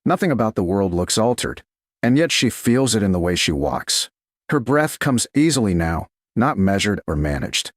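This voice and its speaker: A man narrating a romance story in a slow, soft, deliberate storytelling manner. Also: fairly low pitch, very animated, dry recording, clean studio sound. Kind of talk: scripted audiobook narration of a romance.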